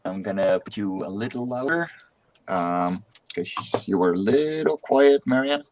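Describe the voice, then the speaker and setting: A man's voice: on the low side, animated, unhurried, darkish tone, a little hiss or hum. A man chatting casually as a streamer and comic artist, who often repeats words as he gets a thought going. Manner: rambling, repeats words when starting a thought. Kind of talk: casual chat.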